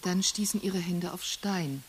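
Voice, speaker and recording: A careful, measured woman played by an actress with a lowish voice, guiding someone through memories in a radio drama. Tape hiss runs under her. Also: natural voice. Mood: measured prompting. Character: careful female questioner guiding a man's recollection. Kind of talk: radio play acting, dialogue. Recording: hissy early 1980s radio play recording.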